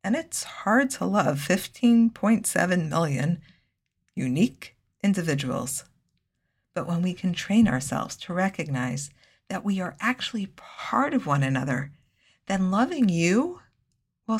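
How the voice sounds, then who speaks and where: A low-voiced woman delivers a scripted Torah lesson. She speaks in a measured, earnest way and drops in Hebrew phrases naturally. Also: breathy edge. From United States. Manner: measured, earnest, with Hebrew and Yiddish-style terms. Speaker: Torah teacher.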